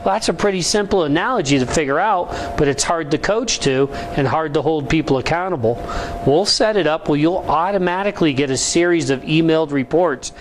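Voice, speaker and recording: A man's voice: fairly high pitch, a little fast. A man giving an informal promotional explainer as a sales presenter, in an instructional, salesman-like manner. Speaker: business phone tools sales presenter. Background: ambient vehicle noise in a motorcycle service department.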